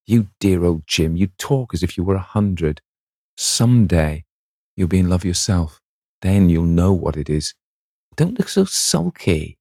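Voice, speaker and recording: A man's voice: low-pitched, sing-song, measured pace, gravelly, warm tone, no echo, clean studio sound, exaggerated voice. A man voicing a young actress, dreamy and lovestruck, speaking in a breathless, rapturous way. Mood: coaxing.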